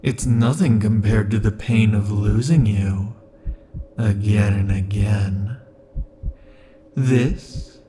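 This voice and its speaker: A man's voice: fairly low pitch, background noise. A man doing an obsessive immortal yandere lover. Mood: unhinged. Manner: slow, soft-spoken, swinging between tender and threatening, with dark chuckles. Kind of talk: ASMR roleplay.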